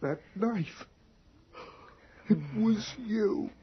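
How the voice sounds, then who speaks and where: A man's voice: high-pitched, extremely slow, a little hiss or hum. An actor playing an anxious, pleading man cornered by a killer in an old-time radio horror drama. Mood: horrified. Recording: dull old radio recording.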